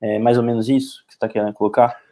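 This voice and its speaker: A Brazilian man with a mellow, relaxed voice at a middling pitch. He hosts a casual, thoughtful online interview, putting ideas forward tentatively and checking back with little tag questions. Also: very fast. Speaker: interviewer and host. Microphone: video call.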